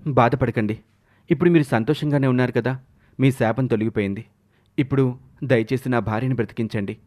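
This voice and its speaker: An anxious, devoted husband in a storybook tale, voiced by a man, with a medium-pitched voice. He speaks earnestly and pleadingly, a frightened man trying to protect his wife. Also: brisk, a little mellow, close to an everyday voice.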